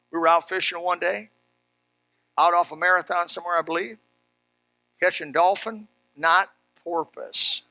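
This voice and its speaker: A man preaching an animated sermon in a folksy, emphatic manner, exclaiming and repeating phrases as he tells stories. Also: a little high, no room sound, low-quality recording. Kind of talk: animated Bible sermon with personal anecdotes.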